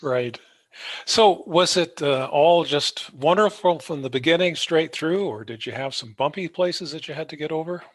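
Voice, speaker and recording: A man's voice: on the high side, moderate pace, no echo. A man hosting a podcast, interviewing a guest in a casual, conversational way.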